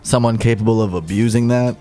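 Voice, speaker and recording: A man with a fairly low voice co-hosting a gaming podcast, trading wry, dry remarks in relaxed banter. Faint music plays underneath. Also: brisk. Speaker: podcast co-host. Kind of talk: casual gaming chat.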